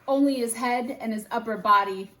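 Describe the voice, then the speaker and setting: A woman reading a children's book aloud as a teacher, in deliberate, expressive storytelling phrasing with animated character voices. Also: on the high side, reverberant, rough recording.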